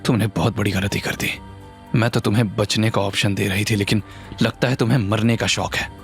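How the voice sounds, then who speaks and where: A man's voice: lowish voice, steady tone, fairly quick, close to an everyday voice. Man voicing confident, scheming young businesswoman over music. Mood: cold, threatening. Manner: challenging, code-switches into English.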